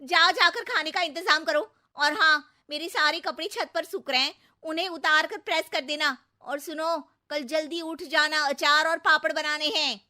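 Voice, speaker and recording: A woman's voice: sits high, moderate pace, hardly any pauses, bright, forceful tone. A woman voicing a bossy, vain middle-aged aunt in a comic cartoon, scolding and dramatic. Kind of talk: exaggerated Hindi cartoon comedy acting.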